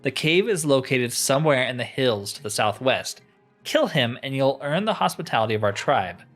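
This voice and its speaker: A man's voice: medium pitch, big pitch swings, normal speed, fairly bright tone, faint music behind. A man voicing a haughty, commanding female witch leader in a fantasy game, with formal, imperious phrasing. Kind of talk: reading game dialogue aloud.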